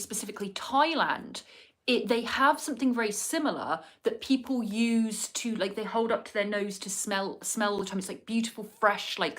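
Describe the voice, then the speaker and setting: A woman's voice: fairly high pitch, a bit bright. An English woman on a casual livestream, chatting while doing her makeup at home, rambling with many fillers and asides to her viewers. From England. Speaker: livestreaming vlogger. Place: home indoors in cold winter weather.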